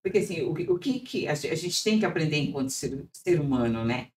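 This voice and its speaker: A woman's voice: low voice, lively intonation, fairly quick, darkish tone. A woman podcast host with a Brazilian Portuguese accent, reflecting aloud and often seeking agreement with tag questions. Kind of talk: podcast interview.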